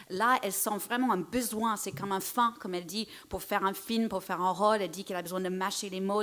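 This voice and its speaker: Woman moderator-interpreter, mid-pitched, third-person summaries, switches French and English. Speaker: press conference moderator and interpreter.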